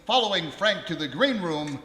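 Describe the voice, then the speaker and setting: An actor playing a pompous, formal old-time radio narrator in a comedy play. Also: male voice, sits high, big pitch swings, moderate pace, pushed and bright, heavily acted. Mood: dramatic narration. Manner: dramatic, formal announcer delivery. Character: pompous, literal-minded radio narrator who never drops character. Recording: echoey, noisy ballroom stage.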